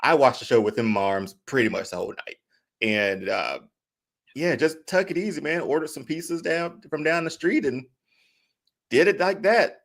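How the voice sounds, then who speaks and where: A man co-hosting a casual podcast, talking in an easygoing, relaxed way as a young father. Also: fairly high pitch, moderate pace, gravelly. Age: young adult father. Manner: laid-back, easygoing. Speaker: podcast co-host. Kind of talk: casual chat.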